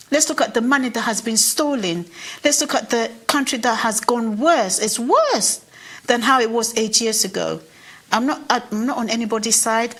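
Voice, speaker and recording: A woman's voice: fairly high pitch, fairly bright tone. A Ghanaian woman with a Ghanaian accent making an earnest, impassioned address to viewers, pleading and repeating phrases for emphasis. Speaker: commentator. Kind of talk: impassioned direct-to-camera address.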